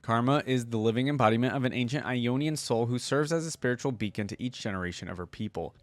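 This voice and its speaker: A man's voice: medium pitch, no echo. A man hosting a casual reaction video, talking conversationally and reading lore aloud into a close microphone. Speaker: YouTube host reacting to game lore.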